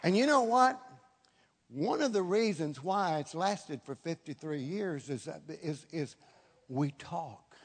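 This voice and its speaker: A man in his seventies delivers a charismatic sermon in a notably high-pitched voice. He repeats phrases for emphasis, and his words ring with the long reverb of a hall. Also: expressive, slow pace. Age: 70s. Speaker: preacher.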